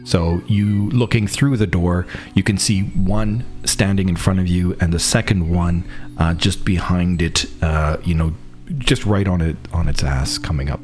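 A man's voice: deep voice, measured pace, darkish tone, faint music behind. A man running an online tabletop game as game master, narrating casually.

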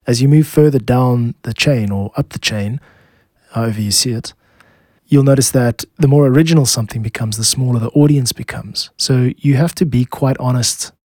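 A South African man narrates a podcast in a measured, explanatory way. His voice sits at a medium pitch, recorded very dry with no room sound. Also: darkish tone, dry recording. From Cape Town, South Africa. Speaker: podcast host, music producer and composer.

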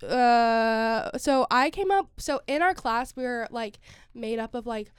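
A woman's voice: on the high side, takes it slowly, clean tone, dry recording, studio quality. A young woman co-hosting a podcast in casual chat, a little congested from a cold, upbeat and full of fillers. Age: young adult. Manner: chatty, upbeat, many "like" fillers. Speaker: podcast co-host.

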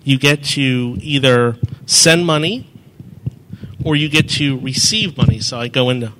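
A man's voice: mid-range voice, unhurried, noisy background. A man presenting to an audience in a meeting room, explaining step by step on a handheld mic and often correcting himself as he goes. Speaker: presenter answering audience questions. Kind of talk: live demo talk at a meeting.

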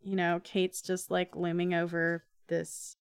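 A woman's voice: fairly low pitch, monotone, a little slow, clean tone. A woman co-hosting a podcast, chatting casually through a comic book recap with playful, jokey asides. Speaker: podcast co-host.

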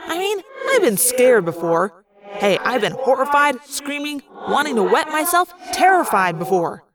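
A woman's voice: sits high, sing-song, a little slow, very noisy. A woman voicing a sarcastic teenage boy in an audiobook, melodramatic, mocking and teasing. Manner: exaggerated, mocking. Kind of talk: audiobook character voice.

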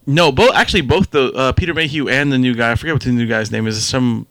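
A man's voice: mid-range voice, fast talker, a bit bright, dry recording. A man co-hosting a podcast, bantering casually about movies with informal, sweary talk. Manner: informal, profane. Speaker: podcast co-host.

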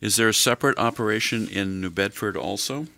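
An older man hosting a radio talk show, chatting slowly in a folksy way with many fillers. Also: on the low side, vocal fry, bright, forceful tone, a little hiss or hum.

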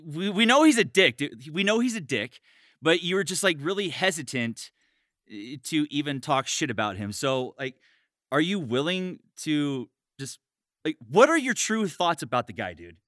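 A man's voice: a little high, very animated, normal speed, a bit bright, dry recording, studio quality. A man hosting a podcast in an informal, sweary, casual chat. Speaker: podcast host.

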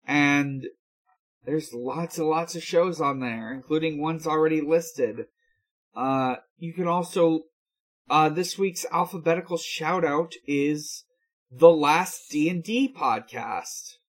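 A man hosting a podcast in casual talk, with a halting, hesitant delivery. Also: a little high. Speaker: podcast host.

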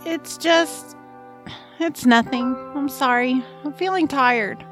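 A woman voicing a frail, gentle, sad little girl with a meek, wistful manner in a fantasy audio drama. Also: high voice, slow pace. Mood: meek, weary. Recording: prominent music under the voice.

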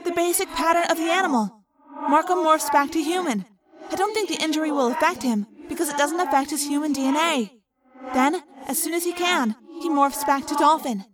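A woman voicing an anxious, quick-thinking teenage girl in an audiobook crisis, urgent and emphatic. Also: high voice, recorded from a distance, very noisy, voice pushed up, lightly acted. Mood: urgent, reasoning.

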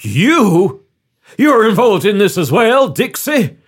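A man's voice: sits high, big pitch swings, husky, voice pushed up, full character voice. A man voicing a bumbling, cowardly male ambassador who blusters and panics when pressed. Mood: flustered. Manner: blustering, panicky, slow and whiny.

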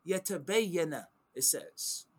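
A man's voice: a little high, lively intonation, slow pace, husky. A man explaining religious principles in a conversation. He is emphatic, repeats key phrases and quotes Arabic texts. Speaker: Islamic teacher answering questions.

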